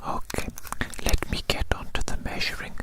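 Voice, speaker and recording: ASMR roleplay performer: whispered throughout, unhurried, repeats phrases, playful and babying. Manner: slow, repetitive, playful, babying.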